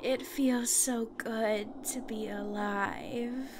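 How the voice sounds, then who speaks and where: A woman plays an evil possessing spirit in an audio drama, gloating and very slow. The voice is fairly high, over clear background noise. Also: fairly even intonation. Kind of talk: fan audio drama acting.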